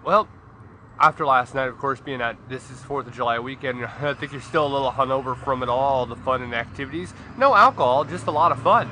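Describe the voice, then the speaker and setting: A man's voice: mid-range voice, a little fast, pushed and bright, a little hiss or hum. A man co-hosting a podcast, chatting casually in an upbeat way with chuckles between remarks. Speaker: podcast co-host. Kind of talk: casual chat.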